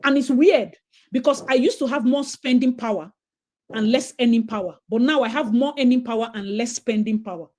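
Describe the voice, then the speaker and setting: A woman's voice: on the high side, a little fast. A Nigerian woman with a Nigerian accent, chatting animatedly and slipping into pidgin as she tells stories. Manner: animated storyteller, slips into pidgin. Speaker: host. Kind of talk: casual chat.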